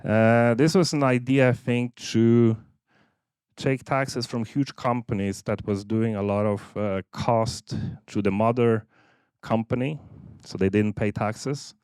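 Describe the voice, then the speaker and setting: A man in his fifties from Norway, Norwegian as first language, giving a halting business presentation with many fillers. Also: mid-range voice, steady tone, darkish tone, clean tone, dry recording, clean studio sound. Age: 50s. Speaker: seminar speaker.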